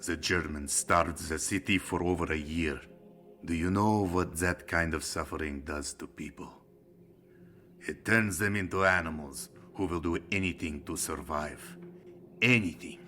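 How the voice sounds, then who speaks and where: An actor playing a hearty, hard-drinking Soviet sergeant who speaks in a boisterous, jovial way. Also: male voice, deep voice, unhurried, bright, forceful tone, slight background noise. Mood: grim. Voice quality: boisterous, jovial, then grim.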